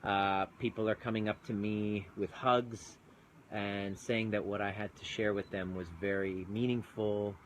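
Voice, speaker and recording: Man, earnest and chatty, live phone stream outdoors, slightly low voice, faint ambience behind. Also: little pitch movement, a little slow, slight background noise. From Canada. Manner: earnest, chatty, greets viewers mid-thought. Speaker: chiropractor turned coach. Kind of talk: live-streamed casual talk. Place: outdoors overlooking mountains.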